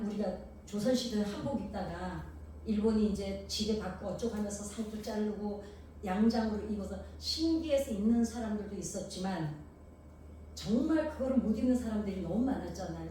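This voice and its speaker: A woman's voice: mid-range voice, mellow, slight background noise. A middle-aged Korean woman with a Jeolla-tinged accent preaching a sermon, asking the congregation questions and telling homely stories. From Gangwon Province, South Korea. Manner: poses rhetorical questions to the congregation; homely, anecdotal storytelling. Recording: echoey hall from far off.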